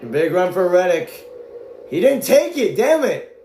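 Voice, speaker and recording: Excitable amateur male race caller, high voice, hoarse from shouting, echoey room. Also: measured pace, a little hiss or hum. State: voice going hoarse from strain. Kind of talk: excited live play-by-play commentary.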